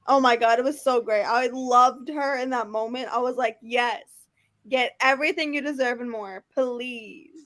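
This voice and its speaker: A woman co-hosting a casual book livestream, gushing and emphatic in an informal, excitable way. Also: a little high, moderate pace. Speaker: co-host of book discussion live show. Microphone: video call over internet.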